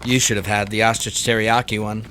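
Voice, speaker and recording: A man's voice: lowish voice, little pitch movement, a little fast, fairly bright tone, a little hiss or hum, lightly acted. A man playing an easygoing, cheerful hiker in a fiction podcast, speaking conversationally. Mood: light. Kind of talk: audio fiction podcast acting.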